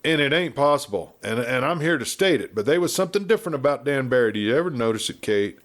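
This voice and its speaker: A man's voice: medium pitch, expressive, fast, fairly bright tone, pitched above the performer's own voice, natural voice. A man voicing a garrulous, good-natured but irascible frontier marshal in a storybook, with an American Western drawl and folksy, blustery chatter. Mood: gossipy, animated. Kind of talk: storybook character voice.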